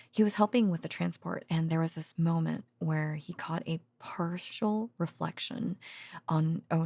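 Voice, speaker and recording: A woman acting as a tender, tired researcher girlfriend in an ASMR roleplay. Her voice sits on the low side, very breathy and soft, often with a creaky edge. She muses haltingly and drowsily, as if confiding at bedtime. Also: no room sound, exaggerated voice. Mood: hushed, confiding. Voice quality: soft, breathy, partly whispered. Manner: hesitant, drowsy, musing, with sighs and soft chuckles. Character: tender, weary female secret-facility researcher and girlfriend. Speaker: voice actor in an audio roleplay. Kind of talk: intimate ASMR girlfriend roleplay, conversational monologue.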